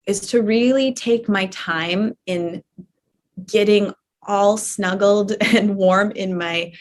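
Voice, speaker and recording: Gentle woman guiding yoga nidra relaxation. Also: mid-range voice. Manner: gentle, permissive, repeats phrases softly. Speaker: yoga nidra teacher guiding a live class. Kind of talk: guided relaxation instruction, conversational.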